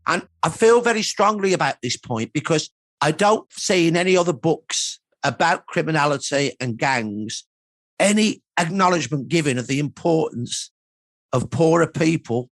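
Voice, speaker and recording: A man's voice: a little high, expressive, normal speed, fairly bright tone, no room sound. A man giving an emphatic, earnest expert account of history in a documentary interview. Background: background music.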